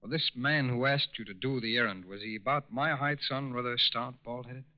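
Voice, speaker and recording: An actor playing a calm, courteous male federal agent with measured questioning in a 1940s radio crime drama. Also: medium pitch, lightly acted. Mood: calm, inquiring. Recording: muffled, hissy old radio broadcast.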